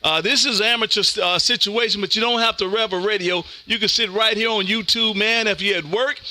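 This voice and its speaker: A high-pitched American man with a very bright, forceful voice chats casually as a ham radio host. His talk is folksy and full of radio jargon. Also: steady tone, brisk, no echo, low-quality recording. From Texas, United States. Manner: folksy, says "man" often, radio call-sign jargon. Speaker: amateur radio livestream host.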